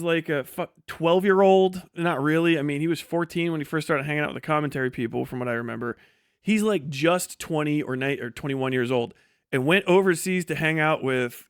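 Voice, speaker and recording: A man livestreaming casual commentary, blunt, scornful and full of swearing, rambling in a halting way with false starts and digressions. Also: on the high side, clean tone, dry recording, studio quality.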